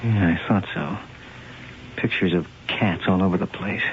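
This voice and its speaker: A man's voice: low-pitched, moderate pace, lightly acted. Man playing a probing police lieutenant. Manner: probing, pointed questioning. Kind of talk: 1970s radio acting. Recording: lo-fi old radio broadcast with hiss.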